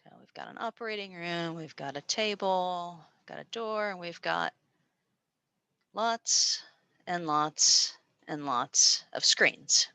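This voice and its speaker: Low, bright-voiced American woman presenting. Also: takes it slowly, clear, resonant tone, no echo. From United States. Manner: chatty and informal, with frequent fillers and restarts. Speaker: presenter and postdoctoral researcher. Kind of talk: online information session presentation. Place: small room at a university. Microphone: video call.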